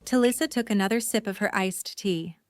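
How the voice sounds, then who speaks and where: Woman narrating a story from a script, mid pitch, very dry recording. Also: brisk, no room sound.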